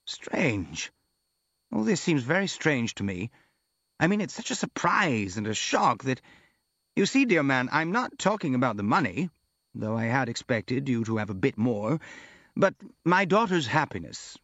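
A man's voice: mid-range voice, very animated, a bit bright, no echo, dull audio, exaggerated voice. Man acting a flustered, stammering old-world general. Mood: perplexed. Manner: stammering, repetitive, halting. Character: flustered middle-aged general, a bewildered fretting father.

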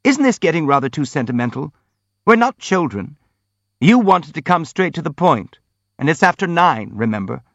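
Audiobook role: excitable, sneering youth, played by a man, squeaky and high, quick to take offence. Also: no room sound, lo-fi sound, exaggerated voice. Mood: impatient. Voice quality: squeaky, shrill. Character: petulant, sneering, excitable young man named Hippolyte.

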